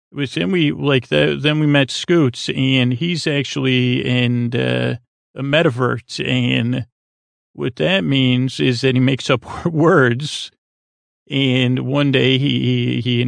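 A man with a gravelly voice hosting a sleep podcast, rambling whimsically and haltingly, often trailing off and circling back. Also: mid-range voice, no room sound. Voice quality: very creaky, gravelly. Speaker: podcast host.

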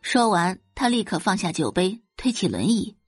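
A woman narrating a dramatic audio novel in an expressive storytelling manner. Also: mid-range voice, normal speed, breathy edge, no echo, studio quality. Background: faint background music.

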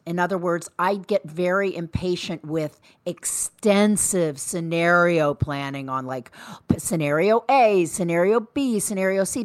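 Frank low-voiced woman co-host. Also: no room sound. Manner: frank and reflective, dry humor. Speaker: podcast co-host. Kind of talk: casual conversation.

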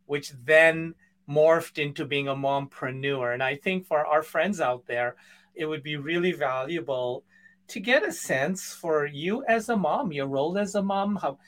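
A man hosting an interview, asking questions with enthusiastic, slightly halting phrasing. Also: a little high, fairly bright tone. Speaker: podcast host. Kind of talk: casual interview conversation.

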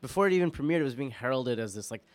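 A man from New York with an American accent, guest-hosting a casual podcast and thinking aloud haltingly, repeating words as he goes. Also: medium pitch, animated, no echo. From New York, United States. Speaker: podcast guest host and producer. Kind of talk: casual chat.

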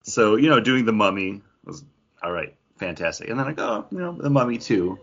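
A man's voice: fairly low pitch, animated, brisk, a bit bright, slightly rough, highs cut off. A man co-hosting a podcast in casual chat, telling stories with theatrical build-ups. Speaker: podcast co-host. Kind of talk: casual chat with dramatic storytelling.

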